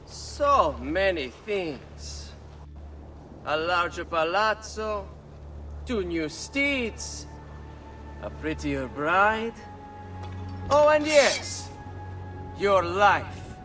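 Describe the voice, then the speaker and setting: A spoiled young nobleman turned villain, sneers every word. His voice rides high and bright at a very slow drawl. He sounds set back from the mic over game music. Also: big pitch swings, far from the mic, exaggerated voice. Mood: sneering.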